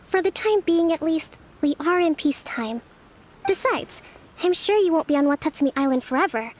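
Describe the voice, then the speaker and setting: A woman playing a gentle, confident young female leader in a video game, speaking in a measured, articulate, formal yet friendly way. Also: extremely high pitch, lively intonation. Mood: friendly, reassuring. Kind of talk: video game character dialogue.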